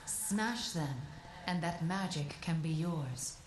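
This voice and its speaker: A woman playing a calm, caring spirit mentor in a video game, speaking in a measured, formal, instructive way. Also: sits low, heavy background noise. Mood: calm guidance. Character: calm, caring female living sanctum spirit, mentor and guide. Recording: echoing voice under game music.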